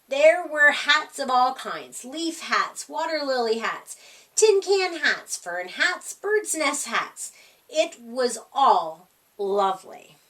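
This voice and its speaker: Woman storytime reader: highish, very expressive, unhurried delivery, a little off-mic. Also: recorded from a distance. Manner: expressive, deliberate phrasing. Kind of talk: children's storytime narration.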